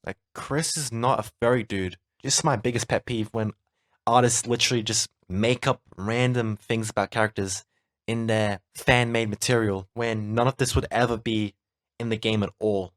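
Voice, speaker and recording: A man's voice: on the low side, steady tone, moderate pace, no room sound, studio quality. A young man delivering a scripted commentary rant, slangy and melodramatic, drawing out words for emphasis. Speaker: video commentator. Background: music.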